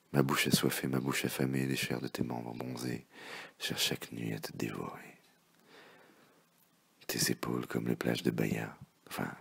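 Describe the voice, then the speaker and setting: A man with an extremely low, gravelly voice recites love poetry. His delivery is theatrical and impassioned, able to sink into hushed murmurs, and his tone is husky and rough. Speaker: poet reading poems.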